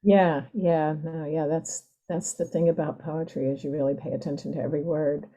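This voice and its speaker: A woman speaking as a poet guest, explaining her craft informally and reflectively, pausing to choose her words. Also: low-pitched, steady tone, dark tone, lo-fi sound. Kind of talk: conversational explanation of writing craft.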